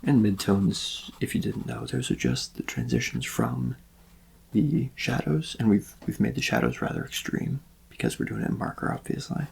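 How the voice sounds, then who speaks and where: A man speaking softly in a gentle, unhurried, reassuring ASMR roleplay as an artist, his voice sometimes thinning toward a whisper. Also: mid-range voice, wildly swooping pitch. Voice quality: soft, breathy-edged voice dropping into whispers and creaky fry. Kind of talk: soft-spoken ASMR personal-attention roleplay.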